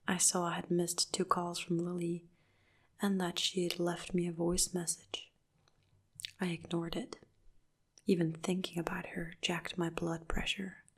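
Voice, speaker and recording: Slow, flat whispering woman narrator. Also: on the low side, breathy edge. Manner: soft, hushed whisper throughout. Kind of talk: whispered horror story narration.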